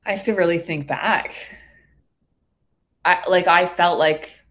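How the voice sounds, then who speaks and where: Low-voiced, earnest woman in casual conversation. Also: steady tone, slow pace, a bit bright. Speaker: hypnotherapist guest.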